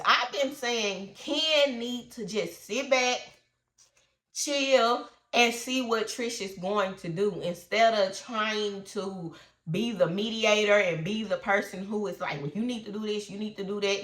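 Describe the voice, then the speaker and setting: A woman's voice: on the high side, pushed and bright, reverberant. Stuffy-nosed woman chatting about reality TV. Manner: chatty, opinionated, loose and informal. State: congested, stuffy nose. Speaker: reality TV recap host. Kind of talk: casual commentary.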